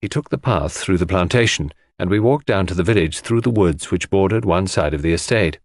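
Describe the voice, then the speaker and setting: A man narrates an audiobook in scripted, literary first person. His voice is on the low side and his intonation is highly varied. The recording is dry, with very little room. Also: animated, a little fast, no echo.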